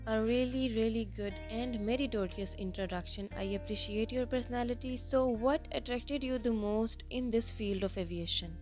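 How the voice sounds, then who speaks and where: A woman's voice: fairly high pitch, moderate pace, hardly any pauses. Woman host introducing over music. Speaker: interview host. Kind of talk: scripted show introduction.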